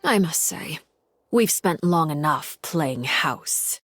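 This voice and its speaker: A woman's voice: low-pitched, animated, slow pace, a bit bright, breathy edge, no room sound, soft background music, full character voice. A woman playing a stern, cutting diplomat in a video game, speaking in a controlled, formal, pointed way. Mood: cold, pointed. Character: cool, cutting female diplomat, stern and accusing.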